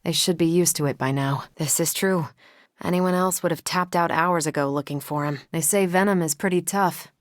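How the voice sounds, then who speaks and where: Actress as a confident video game superheroine, American accent, wry and teasing, slightly low female voice, dry close sound. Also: moderate pace, no room sound, lightly acted. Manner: breezy, teasing, sardonic, casual American slang. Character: confident, wry, upbeat superheroine, adult woman soldier type. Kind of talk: video game voice acting, short one-off lines.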